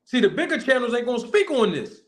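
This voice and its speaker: A man's voice: extremely high pitch, very animated, fast talker. A man who manages boxers and hosts his own online show, talking emphatically in a casual, slang-heavy style with repeated, preacher-like emphasis. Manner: forceful, repetitive, preacher-like emphasis. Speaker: boxing manager and channel host.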